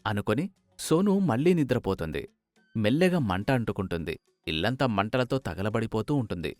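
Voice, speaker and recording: A man's voice: on the low side, big pitch swings, normal speed, darkish tone, dry recording, faint music behind. A man narrating a children's bird fable in storybook style.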